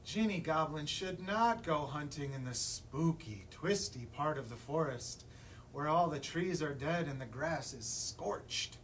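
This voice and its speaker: A man's voice: on the high side, expressive, a little slow, a bit bright. A man reading a children's picture book aloud as a librarian at story time, expressively, putting questions to young listeners. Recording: distant mic, room noise.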